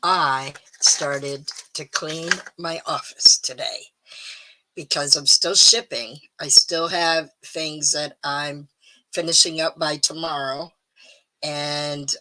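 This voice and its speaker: A middle-aged woman hosting a live stream, chatting casually and rambling with ums, a little hoarse. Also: low voice, bright, forceful tone, rough recording. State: slightly hoarse. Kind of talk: casual chat to viewers.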